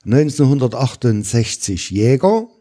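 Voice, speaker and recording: A man's voice: mid-range voice, very animated, a little slow, mellow. An older man, a hunting shop owner, answering interview questions in a matter-of-fact, self-assured way.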